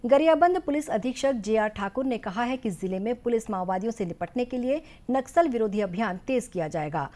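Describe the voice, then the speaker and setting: A woman's voice: on the high side, lively intonation, warm tone. Woman reading a formal news bulletin. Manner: formal, measured delivery. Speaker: news presenter.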